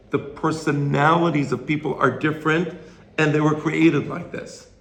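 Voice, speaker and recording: A slightly hoarse man giving an informal religious lecture to a study group, explaining and checking in with rhetorical questions. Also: mid-range voice. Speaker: Torah teacher. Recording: roomy echo, faint background noise.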